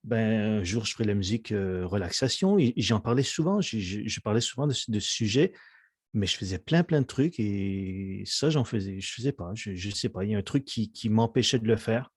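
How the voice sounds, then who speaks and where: A man's voice: fairly low pitch, expressive, a little fast, darkish tone, breathy edge, no echo. Hesitant man, dry sound. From Quebec, Canada. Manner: hesitant, many fillers and restarts. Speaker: musician guest. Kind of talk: casual interview chat.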